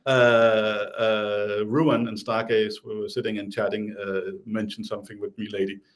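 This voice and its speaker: A man's voice: on the low side, little pitch movement, moderate pace. A man with a Danish accent, talking casually as an interview guest, hesitant with many restarts and fillers.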